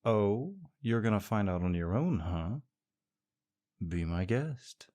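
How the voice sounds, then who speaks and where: A man's voice: on the low side, expressive, slow, mellow. A young man performing a scripted audio roleplay as a sarcastic, teasing rival co-worker. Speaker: voice actor playing a smug rival co-worker.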